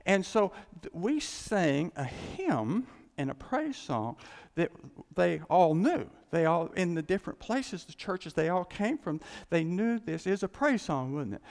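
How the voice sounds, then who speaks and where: A man's voice: high voice, big pitch swings, measured pace, darkish tone. A man preaching a casual sermon from the United States, rambling and restarting, chuckling as he tells his stories. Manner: rambling, self-interrupting, chuckling storyteller. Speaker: pastor. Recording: long hall reverb.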